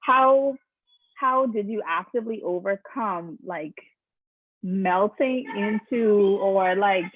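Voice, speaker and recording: A woman's voice: mid-range voice, slow pace, no echo. A woman from the Bahamas asking a question hesitantly, with many fillers. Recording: dry tinny phone line.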